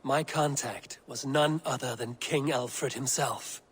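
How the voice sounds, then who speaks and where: An actor playing a grim, proud Norse warrior with a measured, defiant manner in a video game. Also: male voice, medium pitch, steady tone, close to an everyday voice. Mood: sombre. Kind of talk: video game cutscene acting. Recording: long reverb, noisy ambience behind.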